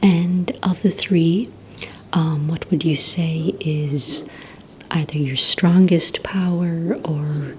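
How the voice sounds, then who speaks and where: A woman whispers very slowly in a soft, mellow, low voice, playing a courteous receptionist in an ASMR roleplay. She asks her questions gently and a little hesitantly. A faint rumbling noise sits behind her. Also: steady tone. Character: courteous female villain recruitment receptionist.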